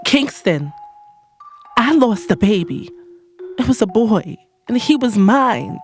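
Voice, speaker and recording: A woman's voice: fairly high pitch, very animated, slow, soft background music, exaggerated voice. A woman playing a hurt, scorned lover in an audio drama, telling it in first person with emphatic, sarcastic delivery. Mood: anguished. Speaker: narrator and lead character in an audio drama.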